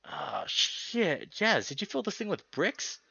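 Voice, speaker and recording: Man voicing a bright, laid-back young animal guy. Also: high voice, wildly swooping pitch, slow, vocal fry, breathy edge, muffled recording, pitched above the performer's own voice, heavily acted. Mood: joking. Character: young male anthro animal, laid-back, kind, evasive friend. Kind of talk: visual novel character reading.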